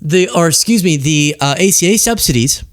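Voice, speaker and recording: A man hosting a political show, talking off the cuff in an animated, sarcastic and self-mocking way. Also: on the high side, normal speed. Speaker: political commentary show host. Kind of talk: casual off-the-cuff political commentary.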